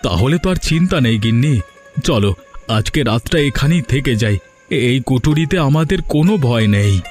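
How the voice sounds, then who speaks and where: A man's voice: medium pitch, expressive, normal speed, a little mellow, soft background music, close to an everyday voice. A man voicing a humble, hopeful poor village father in a story cartoon, earnest and deferential. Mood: reassuring. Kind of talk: Bengali moral story cartoon dubbing.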